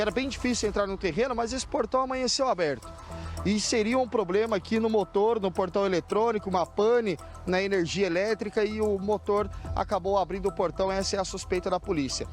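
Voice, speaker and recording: A Brazilian man reporting live for TV news from a city street, describing the scene as he points things out. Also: sits high. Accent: Brazilian Portuguese. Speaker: field reporter.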